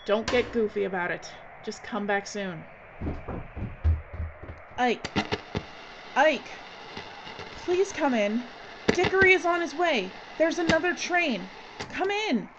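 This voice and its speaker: Woman playing urgent, bossy heroine, high voice. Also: expressive, slow pace, pauses often, exaggerated voice. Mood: alarmed. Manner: urgent, clipped, commanding. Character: tense, bossy female filmmaker trapped in a frozen station. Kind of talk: horror adventure audio drama acting. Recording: dull audio with loud background noise.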